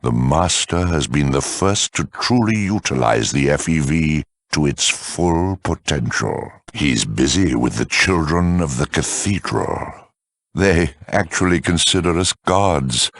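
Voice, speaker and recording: A man playing a smug, erudite super mutant lieutenant in a video game, talking with slow, menacing condescension in a deep rasp. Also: a bit bright, no echo, clean studio sound, heavily acted. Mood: proud, zealous. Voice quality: very deep, gravelly, rasping. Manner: condescending, eloquent, slow and deliberate. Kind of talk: theatrical video game villain acting.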